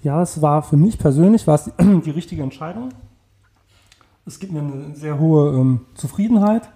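A man's voice: fairly high pitch, lively intonation, moderate pace, mellow. A man in his forties from the Saarland, answering interview questions in a casual, hesitant way with many fillers and restarts. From Saarland, Germany. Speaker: entrepreneur, interview guest.

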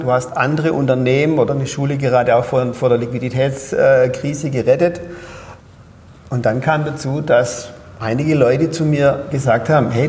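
Gravelly Swabian man, explaining. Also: medium pitch, darkish tone, echoey room, big-room sound, a little hiss or hum. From Pforzheim, Germany. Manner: explains step by step with frequent "ja?" tags. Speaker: guest speaker and coach. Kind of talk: explanatory interview talk.

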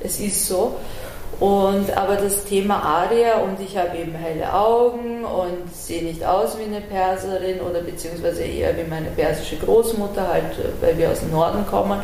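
A woman's voice: mid-range voice, moderate pace, dark tone. A middle-aged woman from Iran who grew up in Vienna, speaking as an interview guest, frank and reflective in long run-on sentences. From Iran, raised in Vienna. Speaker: interview guest, artist and activist. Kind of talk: conversational interview. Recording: roomy echo, faint ambient noise.

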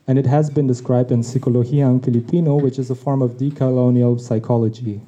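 A man whose mother tongue is Tagalog, reading a personal essay on his podcast in a reflective, measured way. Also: medium pitch, fairly even intonation, mellow. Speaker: podcast host. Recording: dull lo-fi recording.

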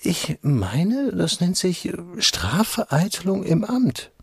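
A male senior official who hides menace behind formal manners. His husky voice moves in an insinuating, varied melody. The radio drama sound is dry and close. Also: high voice, big pitch swings, takes it slowly, dry recording, heavily acted. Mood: veiled threat.

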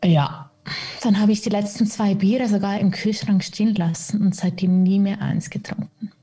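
Woman, interview guest, husky mellow tone, candid and self-mocking. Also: mid-range voice, steady tone, moderate pace. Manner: open, reflective, self-mocking. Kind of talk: candid personal interview talk.

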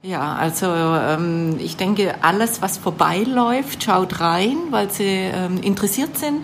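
A woman's voice: on the low side, measured pace, a little hiss or hum. A woman from Baden-Württemberg with a Swabian accent, a shop owner answering interview questions hesitantly with many fillers.

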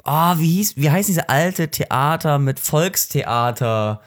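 A man co-hosting a podcast in casual chat, excitable and quick to joke and laugh. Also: on the high side. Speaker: podcast co-host.

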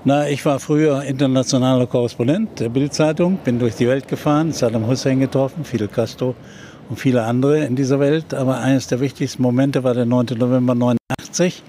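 Older male journalist, halting storyteller. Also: mid-range voice, fairly even intonation, warm tone, a little hiss or hum. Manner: hesitant storytelling with many fillers. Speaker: journalist and TV presenter. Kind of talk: recounting a historical event in an interview.

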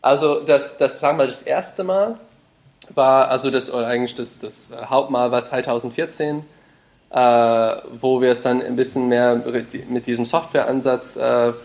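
A man's voice: medium pitch, fairly even intonation, moderate pace, creaky, a little mellow. Hesitant man. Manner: hesitant, many fillers and restarts, mixes in English words. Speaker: podcast guest, startup founder. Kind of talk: casual interview talk.

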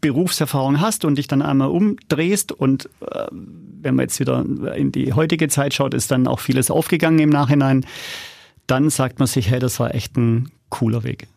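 A middle-aged man with a medium-pitched, gravelly voice, recorded dry and close. He talks casually and candidly as an interview guest, in colloquial, self-critical anecdotes. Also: normal speed, darkish tone, no room sound. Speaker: podcast guest, audio drama producer.

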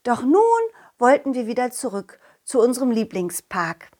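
A woman's voice: a little high, animated, normal speed, darkish tone, pitched below the performer's own voice. A woman voicing Kollin, a confident, loyal talking dog, narrating a children's audio play in a simple, child-directed way. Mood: friendly, eager. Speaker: storyteller and main character of a children's audio story.

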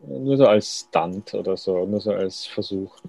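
A man speaks as a writer being interviewed, slow to settle on his words and quick to make light of himself. His voice sits low, with a gravelly fry and a dark, mellow tone. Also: no echo. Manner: musing, thoughtful, self-deprecating. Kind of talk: radio interview.

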